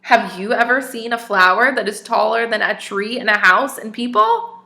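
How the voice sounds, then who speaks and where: A woman teacher reading a picture book aloud to young children, expressive and stopping to ask them questions. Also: fairly high pitch, normal speed, creaky, roomy sound.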